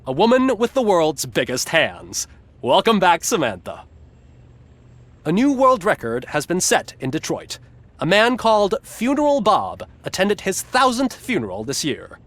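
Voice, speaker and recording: A man's voice: fairly high pitch, fairly bright tone. A man playing a glib, satirical TV news anchor in a video game, with a polished, smugly ironic delivery. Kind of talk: scripted satirical newscast narration.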